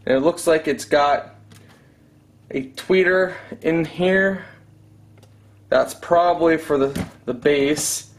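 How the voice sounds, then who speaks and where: Slow-paced man, slightly high voice. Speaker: product reviewer. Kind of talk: casual unboxing review. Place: room at home.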